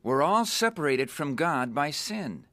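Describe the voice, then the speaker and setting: Radio drama part: fervent young convert, deliberate scripture delivery, very dry close sound. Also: male voice, a little high, big pitch swings, sharp tone, no room sound, heavily acted. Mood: solemn, declaring. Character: earnest, newly saved young man preaching scripture.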